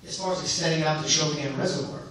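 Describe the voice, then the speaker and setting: A man's voice: fairly high pitch, steady tone, fast talker, a bit bright. A man who is losing his voice, answering as a candidate in a debate. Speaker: mayoral candidate. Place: synagogue hall. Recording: echoey hall from far off, some noise.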